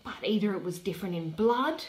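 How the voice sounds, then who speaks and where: A young woman giving an expressive dramatic reading of a Shakespeare play, with theatrical, deliberate phrasing and pauses. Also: medium pitch. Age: young adult. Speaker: reader.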